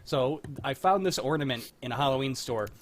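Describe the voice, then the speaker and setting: A man's voice: mid-range voice, lively intonation, fairly quick, slight background noise. A man co-hosting a livestream, chatting casually and telling a rambling story. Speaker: co-host of a livestreamed old-radio table read. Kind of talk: casual chat.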